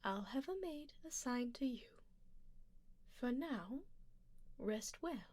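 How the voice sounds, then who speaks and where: A high-voiced, refined vampire duchess, played by a woman, dotes possessively on her listener in an ASMR roleplay. She speaks softly and slowly, often near a whisper, with genteel condescension and quiet command. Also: animated, dry recording. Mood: gently commanding. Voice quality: soft, breathy whispered passages. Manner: genteel, measured, formal, gently commanding. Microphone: close binaural microphone, dry.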